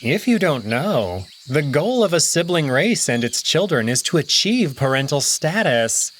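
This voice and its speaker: A man voicing a young male alien prince and diplomat, speaking in a measured, formal, unhurried way. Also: fairly high pitch, sing-song, a little hiss or hum. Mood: explanatory.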